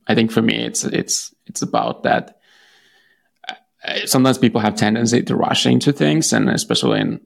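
A man with a Finnish accent answers interview questions in a relaxed, hesitant way, pausing and restarting often with lots of fillers. His low voice carries a strong gravelly fry, and his pitch swings widely from phrase to phrase. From Finland. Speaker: guest, startup founder.

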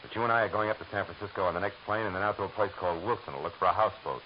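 Male lead: hard-boiled detective, deadpan wisecracks, deep voice; muffled lo-fi radio sound. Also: racing, natural voice. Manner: dry, sardonic wisecracks. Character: hard-boiled male private eye, wry and dry. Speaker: narrator and detective. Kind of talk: 1940s radio acting. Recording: hissy dull old radio broadcast.

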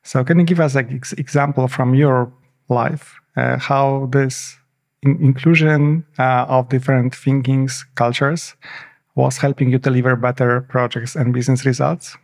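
A man hosts a podcast with a gravelly, mid-pitched voice. He asks his questions formally, pausing and correcting himself along the way. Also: steady tone, darkish tone. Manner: formal, slightly halting questions. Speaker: podcast host. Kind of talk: podcast interview.

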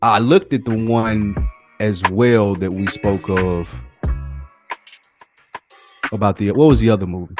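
A man's voice: lowish voice, fairly even intonation, unhurried, pauses often. A man co-hosting a podcast in casual, slangy conversation, often repeating phrases for emphasis. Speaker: podcast co-host. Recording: music behind the voice. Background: music.

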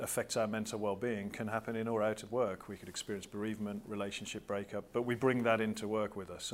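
A man speaks as a guest in a podcast interview, with a voice a little on the low side. He talks fluently and persuasively, in the steady tone of someone who makes the case for a cause. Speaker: guest, founder of a social enterprise.

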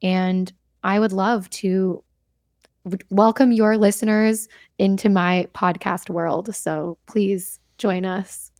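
A woman's voice: medium pitch, fairly even intonation, slow, darkish tone, dry recording, studio quality. A young woman chatting earnestly as a podcast guest, laughing easily as she talks about her work. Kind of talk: casual interview chat.

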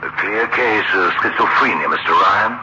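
A man's voice: on the low side, normal speed, natural voice. An actor playing an assured, clinical male psychiatrist with a formal manner in a 1960s radio drama. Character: authoritative, measured male psychiatrist. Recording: muffled, very noisy old radio recording.

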